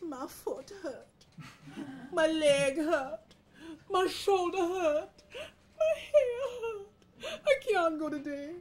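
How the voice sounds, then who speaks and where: A woman storyteller voicing a lazy, scheming male cockroach with whiny, drawn-out, melodramatic delivery. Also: extremely high pitch, lively intonation, voice pushed up, heavily acted. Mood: whiny, self-pitying.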